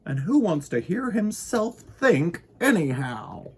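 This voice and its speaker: Man putting on a high, fretful storybook shopkeeper. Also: big pitch swings, husky, full character voice. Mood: contented. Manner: exclaiming, drawn-out, dramatic. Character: fretful clock shop owner who loves cheerful noise.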